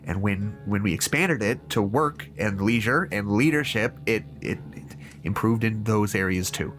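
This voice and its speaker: A man's voice: on the low side, a bit bright, soft background music, close to an everyday voice. An actor playing a troubled, disillusioned male therapist in an audio drama, stammering and hesitating as he reasons aloud. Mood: hesitant, resigned. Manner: halting, hesitant, stammering repeats.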